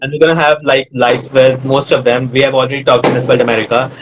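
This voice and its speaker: A man's voice: medium pitch, flat delivery, fast talker, very noisy. A man from North India hosting a live online interview, chatty and friendly, rambling with fillers as he asks his questions.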